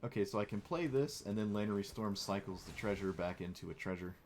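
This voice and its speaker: Young male streamer with a lowish, slightly hoarse voice and wry, chatty game commentary. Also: fast, darkish tone, slight background noise. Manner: chatty, wry, playfully mock-dramatic. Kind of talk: casual gameplay commentary.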